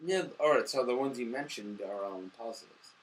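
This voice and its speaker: A man's voice: medium pitch, a little fast, slight background noise, rough recording. A drunk man co-hosting a casual, profane movie review, rambling and easily losing the thread. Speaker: movie review show host.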